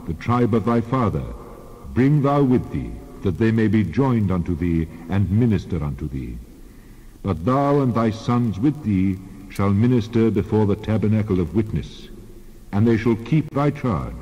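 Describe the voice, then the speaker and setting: An actor playing God as a solemn, commanding lawgiver in a dramatized scripture reading. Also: male voice, on the low side, mellow, breathy edge. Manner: solemn, declarative. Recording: long reverb, dull band-limited sound.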